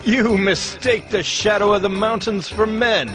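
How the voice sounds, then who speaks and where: A sardonic male ruler of an ancient city in an audio drama. His voice is pitched high, mocking and declamatory, with music behind it. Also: measured pace, rough recording. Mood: mocking challenge.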